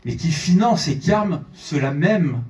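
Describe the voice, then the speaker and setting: A man's voice: fairly high pitch, animated, normal speed, darkish tone. A French-Iranian man, a journalist, giving a fervent speech at a conference in a large theatre, repeating words when he pushes a point. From France and Iran, French-Iranian. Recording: echoey hall, voice from a distance.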